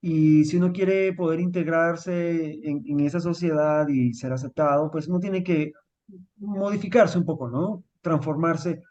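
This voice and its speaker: A man's voice: fairly high pitch, fairly even intonation, measured pace, darkish tone, highs cut off. A young Venezuelan man with a Venezuelan accent touched by Mexican speech, a writer talking as a guest, thoughtful and full of fillers. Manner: thoughtful, many fillers like "este" and "pues". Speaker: author guest. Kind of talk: interview.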